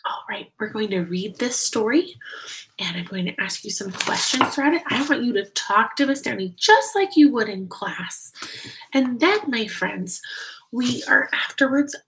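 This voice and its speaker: A woman kindergarten teacher giving a read-aloud lesson, explaining things simply and guiding young children with questions, with deliberate, emphatic phrasing. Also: on the high side, a bit bright, a little hiss or hum, low-quality recording.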